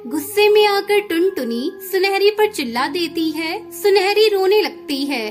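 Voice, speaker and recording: A woman's voice: extremely high pitch, animated, measured pace. A woman narrating a scripted children's cartoon story. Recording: music behind the voice.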